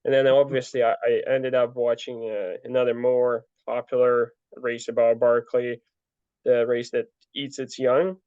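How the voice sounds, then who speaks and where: A young man telling his story in a relaxed interview, full of fillers and restarts. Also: medium pitch, a little slow, smooth, dry recording. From Ukraine. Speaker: guest, ultra trail runner. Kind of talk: casual interview answers. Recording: dull lo-fi dry sound.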